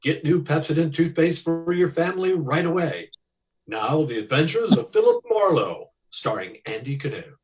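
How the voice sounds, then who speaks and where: A man's voice: on the high side, expressive, normal speed, far from the mic, background noise. A man announcing and reading sponsor spots in the style of a 1940s radio show.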